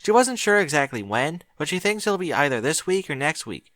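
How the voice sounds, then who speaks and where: A man's voice: sing-song, fairly quick, a bit bright, no echo, clean studio sound. A man doing an eager, bookish young mare. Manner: eager, chatty, higher-pitched. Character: bookish cheerful young female pony mage. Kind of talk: audiobook character voice.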